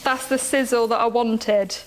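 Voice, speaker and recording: A woman's voice: a little high, fairly even intonation, measured pace, a little hiss or hum. A British woman chatting while she cooks, giggly and self-deprecating. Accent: British English. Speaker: contestant cooking. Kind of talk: casual chat while cooking. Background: music.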